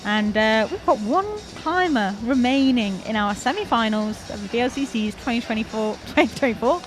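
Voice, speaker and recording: A bright, higher-voiced young woman from North Wales calls a climbing competition live, chatty and eager, cheering the climbers on. She laughs easily at her own slips, and venue music plays clearly behind her. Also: expressive, poor audio. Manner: chatty, enthusiastic, quick to laugh at herself. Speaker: commentator.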